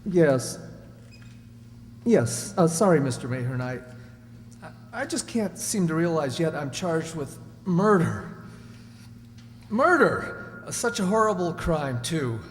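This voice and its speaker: A man's voice: fairly high pitch, big pitch swings, slow pace, long pauses, slight background noise. A man playing an anxious young murder suspect, earnest and faltering, in an old radio drama with a reverberant, hummy sound. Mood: agitated, desperate. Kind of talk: 1940s radio drama acting.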